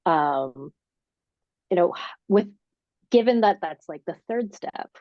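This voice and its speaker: A woman's voice: lowish voice, expressive, takes it slowly, no room sound. A woman participant asking a question in an online workshop on a video call, hesitant and searching for words. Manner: hesitant, many fillers and restarts. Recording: dull lo-fi video-call audio.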